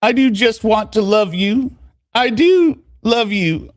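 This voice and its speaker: Man putting on a high-pitched lovelorn Southern sweetheart. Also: expressive, takes it slowly, no echo, studio quality. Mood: tender, adoring. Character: lovelorn Southern lover, pleading and dramatic.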